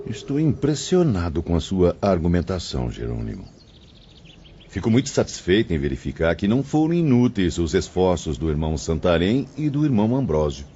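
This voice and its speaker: Man acting talkative male spirit, formal, animated intonation, dull audiobook recording. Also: low-pitched, brisk. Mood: impressed, curious. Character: curious, impressed, talkative male spirit companion. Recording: dull lo-fi audio, faint ambient noise.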